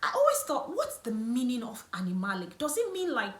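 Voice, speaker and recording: A woman's voice: a little high, huge pitch swings, brisk. A woman beauty and lifestyle vlogger, whose first language is not English, explaining things to camera in a chatty, self-correcting way. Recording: echoey room with faint music.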